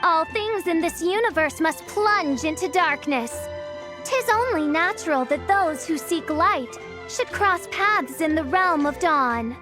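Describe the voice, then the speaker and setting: A woman's voice: very high voice, animated, unhurried, music in the background. A woman playing a pompous, theatrical teenage gothic princess in a video game, with grandiose, archaic, melodramatic delivery.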